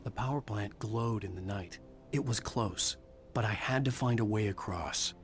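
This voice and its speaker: A man voicing a haunted novelist tells his tale in a low, measured, inward voice. His tone is deep and grim. A clear game score plays behind him. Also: faint music behind, lightly acted. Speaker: novelist protagonist. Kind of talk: brooding noir game narration.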